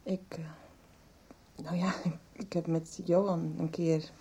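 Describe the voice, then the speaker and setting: A woman playing a troubled, reluctant teenage daughter in a radio soap, speaking haltingly. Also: lowish voice, little pitch movement, warm tone, husky, heavily acted. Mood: nervous, hesitant. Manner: hesitant, halting. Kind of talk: 1990s radio soap acting. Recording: radio drama with background noise.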